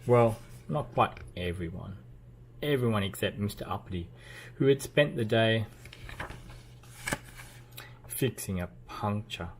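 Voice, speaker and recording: A man reading a children's storybook aloud as narrator, with expressive delivery and playful emphasis. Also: on the low side, unhurried, long pauses, background noise. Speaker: children's book reader.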